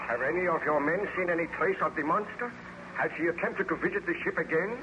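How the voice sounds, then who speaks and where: An actor playing a guilt-ridden, tormented baron with a grave, formal, melodramatic manner in an old-time radio serial. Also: male voice, a little high, fast, natural voice. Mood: somber. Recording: dull, noisy old radio recording.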